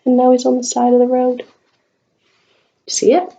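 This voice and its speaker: A woman reading a picture book aloud to a young child, expressive and coaxing, in the manner of a teacher. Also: sits high, normal speed, gravelly, dull audio. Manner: expressive, coaxing talk aimed at small children.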